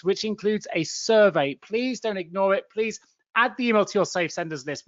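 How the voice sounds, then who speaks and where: Upbeat high-voiced male webinar host. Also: no echo, lo-fi sound. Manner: upbeat, fluent presenter. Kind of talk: webinar hosting.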